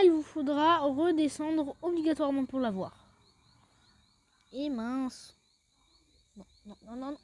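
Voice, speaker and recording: High-voiced woman giving a game walkthrough. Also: a little mellow, no room sound. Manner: chatty, step-by-step, often says "ensuite". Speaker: gaming video creator. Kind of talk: casual game walkthrough commentary.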